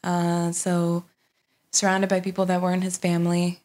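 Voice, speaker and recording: A young woman from Sweden, a podcast guest, telling her family story in a relaxed, casual way with frequent ums and uhs. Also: lowish voice, normal speed.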